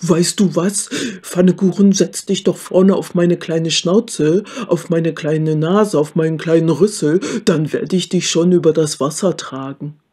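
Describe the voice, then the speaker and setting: Casting: storybook pig played by a woman, fat sly persuader, falsely friendly, somewhat husky. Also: deep voice, steady tone, measured pace, few pauses, mellow.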